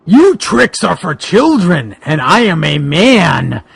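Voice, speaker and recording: A man voicing a defiant monster hero in a comic fan fiction reading, with theatrical, taunting bluster and a gravelly, strained voice. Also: high-pitched, huge pitch swings, slow pace, a bit bright, dry recording, exaggerated voice.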